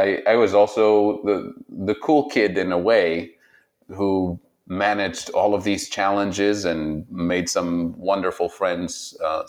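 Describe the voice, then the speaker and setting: A man speaks low and unhurried, weighing his words as he looks back on his life. He stops and restarts often, with quiet laughter woven through his story. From Tunisia. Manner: reflective, halting, laughs often. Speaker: interviewee. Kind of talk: personal storytelling interview.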